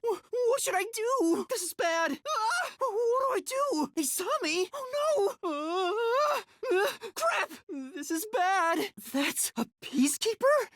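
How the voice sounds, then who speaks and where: A man's voice: extremely high pitch, very animated, slow, fairly bright tone, no room sound, studio quality, heavily acted. An actor playing a timid, earnest young male detective in a video game, with a light, youthful voice and a hesitant, boyish manner. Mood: panicked. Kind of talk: English video game voice acting.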